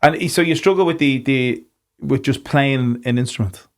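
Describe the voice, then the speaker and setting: An Irish man hosting a podcast, talking in a relaxed, casual way, swearing freely and restarting his sentences. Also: mid-range voice, normal speed. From Ireland. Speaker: podcast host. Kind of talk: casual conversation.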